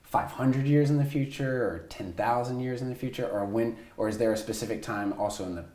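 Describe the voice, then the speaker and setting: A man's voice: medium pitch, a little fast, dark tone, roomy sound. A man hosting an interview podcast, asking loose, rambling questions full of fillers. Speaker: podcast host. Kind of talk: casual interview.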